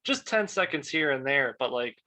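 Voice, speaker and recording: A man's voice: mid-range voice, animated, normal speed, sharp tone. A young man, a video animator, talking casually as a panelist. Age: young adult.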